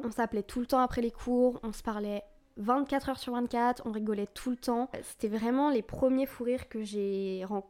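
Young woman host sharing personal stories, casual and candid, slightly high, soft warm tone. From France. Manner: candid, confessional, casual with fillers. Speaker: podcast host. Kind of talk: personal storytelling monologue.

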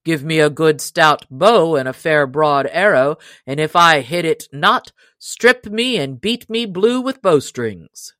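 A woman voicing a proud, surly male stranger who talks in a gruff, loud and boastful way. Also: deep voice, expressive, slow pace, few pauses, dry recording, full character voice.

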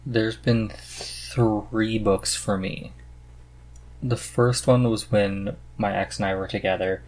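A man's voice: on the low side, steady tone, slow, rough recording. A man hosting a casual podcast conversation, speaking in an earnest, enthusiastic way. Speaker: podcast host.

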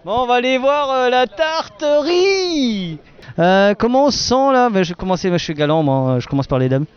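A man's voice: very high-pitched, big pitch swings, normal speed, smooth, highs cut off. A man roaming an outdoor wine festival, playfully teasing people as he interviews them. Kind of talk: casual street interviews.

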